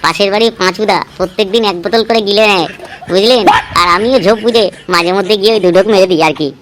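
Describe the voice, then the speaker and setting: Woman playing a chatty, fast-talking cartoon patient. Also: medium pitch, slight background noise, close to an everyday voice. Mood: cheeky. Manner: wordy, complaining, colloquial. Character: chatty, naive, cheeky cartoon clinic patients. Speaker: cartoon voice actor. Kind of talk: comic Bengali cartoon dialogue.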